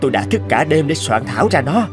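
A man's voice: mid-range voice, big pitch swings, quick pace. A man playing an eager, polite and confident inventor in a cartoon dub. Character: gleeful, driven male inventor, confident and polite. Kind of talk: Vietnamese cartoon dub acting. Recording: music under the dialogue.

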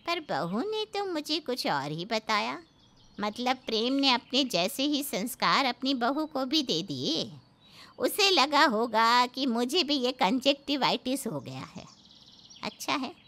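A woman's voice: sits high, sing-song, takes it slowly, pushed and bright, pitched above the performer's own voice, full character voice. A woman voicing an opinionated elderly village aunt in a cartoon story, grumbling and folksy. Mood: wry.

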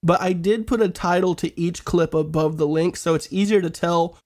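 A man's voice: high voice, moderate pace, a little mellow. A man in his thirties, nasal and congested with a head cold, giving casual commentary to camera as a host. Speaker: host of a reaction video show. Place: small room at home, indoors.

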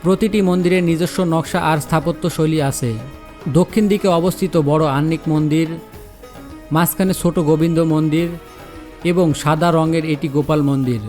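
A man with a slightly raised pitch narrates a travel vlog in a steady, descriptive voice-over. Soft background music sits faintly underneath his scripted reading. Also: normal speed.

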